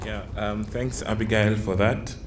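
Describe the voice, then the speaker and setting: A man moderating a conference session, introducing the next speaker in a slow, hesitant way. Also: fairly low pitch, little pitch movement, some chatter behind, slight background noise.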